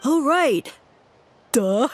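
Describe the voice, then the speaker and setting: A woman voicing a dim, excitable little talking mushroom sidekick in a video game. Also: high voice, slight background noise, full character voice. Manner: slow-witted, exclaiming. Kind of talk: English video game voice acting. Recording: music clearly under the voice.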